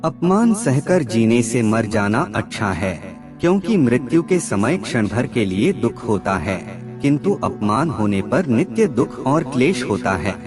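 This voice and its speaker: A man reading scripted moral aphorisms as a narrator, in a measured, formal recitation. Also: mid-range voice, sing-song. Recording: music bed under the voice. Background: background music.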